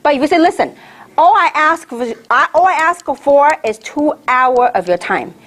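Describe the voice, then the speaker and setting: A woman's voice: sits high, animated, normal speed, a bit bright. A middle-aged woman from Hong Kong giving a motivational training talk, emphatic and repetitive, firing rhetorical questions at the audience. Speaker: network marketing leader.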